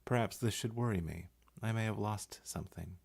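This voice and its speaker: Deep husky male narrator. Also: fairly quick, darkish tone. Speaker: voice-over artist. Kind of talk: scripted narration of essay and poetry.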